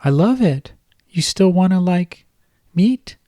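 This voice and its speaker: A man's voice: expressive, slow, dark tone, no room sound, clean studio sound. A man faking a babyish teen girl voice. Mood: coy. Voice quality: babyish, high, hint of manliness. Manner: hesitant, lots of um and like.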